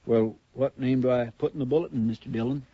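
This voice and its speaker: An actor playing an earnest young deputy sidekick in a 1950s radio drama. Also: male voice, medium pitch, steady tone, breathy edge, no room sound, highs cut off. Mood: eager. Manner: earnest, quick, eager to help. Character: eager, loyal young male deputy sidekick.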